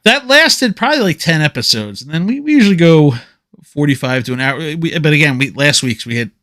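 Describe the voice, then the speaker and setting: A man's voice: a little high, sing-song, a little fast, fairly bright tone, no room sound, clean studio sound. A man in his forties, a podcast host, chatting casually and laughing often. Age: 40s. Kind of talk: casual chat.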